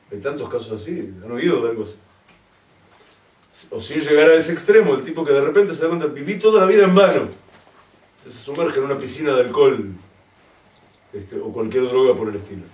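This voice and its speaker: A man's voice: mid-range voice, darkish tone, far from the mic. A man who is a rabbi gives a Torah lesson to a community, speaking emphatically, using rhetorical questions and wry asides. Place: community hall. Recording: roomy echo, from away from the mic.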